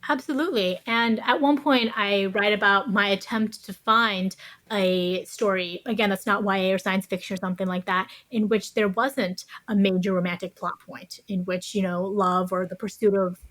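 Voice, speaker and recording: A woman's voice: medium pitch, fairly even intonation, moderate pace, a bit bright, no echo, low-quality recording. A woman giving reflective, explanatory answers as an author in an interview, often adding "you know" as she talks. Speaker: podcast guest, author. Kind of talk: thoughtful interview conversation.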